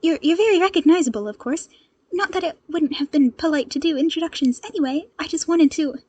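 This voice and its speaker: A high, mellow boyish voice put on by a woman reader. He is an earnest, quick-thinking young secretary in an audio story, anxious and keen to help. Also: highs cut off, lightly acted. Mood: nervous, soft. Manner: hesitant stammer turning to brisk instructions.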